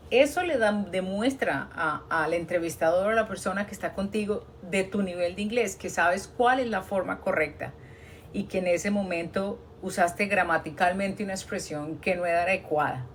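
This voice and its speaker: A woman's voice: mid-range voice, slight background noise. A Colombian woman, a nurse working abroad, giving earnest, encouraging advice in a casual live stream chat, often restarting her sentences.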